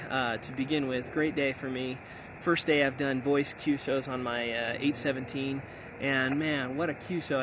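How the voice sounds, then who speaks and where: A middle-aged American man giving an enthusiastic, casual summary as an amateur radio operator vlogging. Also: mid-range voice. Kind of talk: casual outdoor vlog talk, summarizing. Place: outdoors in hilly backcountry. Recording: outdoor noise behind the voice.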